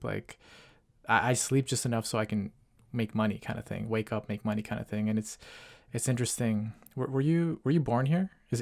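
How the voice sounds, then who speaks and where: Male host, mid-pitched, gravelly, casual chatty interviewer. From Ecuador. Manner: relaxed, rambling, lots of fillers like "like". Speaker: podcast host.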